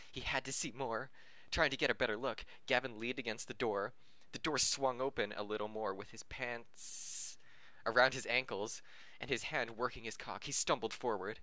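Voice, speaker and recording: A man hosting a podcast reads a story aloud in a bright, forward voice with very little room sound. He is amateurish and giggly, and he trips over words and restarts phrases. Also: mid-range voice, dry recording. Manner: stumbles over the text, giggly. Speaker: podcast host reading fan fiction aloud. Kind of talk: amateur story reading, often breaking up.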